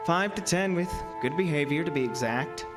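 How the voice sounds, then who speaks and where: A male actor plays a bitter, weary carnival manager in a radio horror drama. His brooding voice sits a little high and swings expressively, with music underneath. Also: animated, normal speed, natural voice. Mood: brooding, reflective. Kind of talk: radio drama acting and first-person narration.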